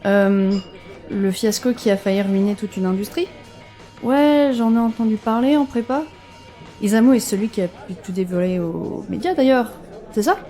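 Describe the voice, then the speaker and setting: An easygoing young woman, played by an actress with a soft, dark-toned voice over faint music. She talks casually and tentatively in an audio drama. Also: mid-range voice, lively intonation, moderate pace, close to an everyday voice. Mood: curious. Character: easygoing, hesitant young colleague and casual lover.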